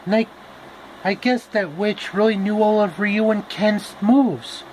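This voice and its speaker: A man's voice: high-pitched, unhurried, darkish tone, a little hiss or hum, pitched above the performer's own voice, poor audio. A man voicing a cheerful, boastful young girl adventurer in a chatty, self-assured way for a story. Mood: confident.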